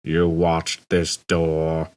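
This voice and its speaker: A man voicing a depressed, bored robot in an audiobook, droning slowly with gloomy sarcasm. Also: completely flat delivery, darkish tone, clear, resonant tone, no echo, full character voice. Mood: sour loathing. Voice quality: low, droning. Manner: slow, gloomy, sarcastic muttering. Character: hopelessly depressed, bored male humanoid robot. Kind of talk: audiobook character voice.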